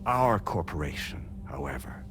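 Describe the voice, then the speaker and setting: A man playing a calm, formal older corporate president in a game cutscene, speaking in a measured, deliberate way. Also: low voice, noisy background. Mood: courteous, assured. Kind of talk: cinematic game cutscene dialogue. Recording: music behind the voice.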